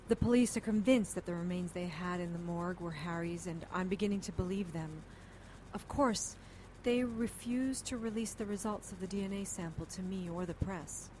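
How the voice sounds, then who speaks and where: An actress playing a determined, weary detective's partner, sober and matter-of-fact in audio drama narration and dialogue. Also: female voice, medium pitch, slight background noise, lightly acted, low-quality recording. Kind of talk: scripted first-person audio drama narration.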